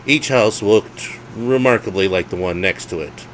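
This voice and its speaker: Deep-voiced man narrating a wry ghost story. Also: moderate pace, fairly bright tone, slight background noise, poor audio. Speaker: author reading own story.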